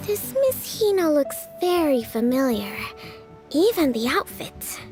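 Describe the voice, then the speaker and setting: An actress voicing a gentle, gracious young female leader in a video game, polite and measured. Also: high-pitched, sing-song, over music. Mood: curious.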